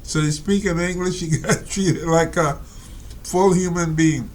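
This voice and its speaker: A man reading out and commenting on a reader's letter, talking slowly and rambling with many fillers and asides. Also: fairly high pitch, fairly even intonation, darkish tone. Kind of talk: casual spoken commentary.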